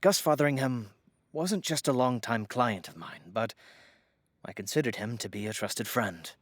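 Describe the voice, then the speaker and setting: A man's voice: mid-range voice, no echo, close to an everyday voice. Man acting a courteous, florid lawyer, dry recording. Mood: earnest, solemn. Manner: formal, halting, florid. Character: courteous, earnest male estate lawyer, loyal and wordy. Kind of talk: audio drama acting.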